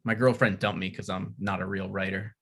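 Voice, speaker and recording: A man's voice: sits low, level tone, fairly quick, fairly bright tone, slightly rough. A man reads a script at a table read. He sounds hesitant and self-deprecating, stumbling and restarting his sentences.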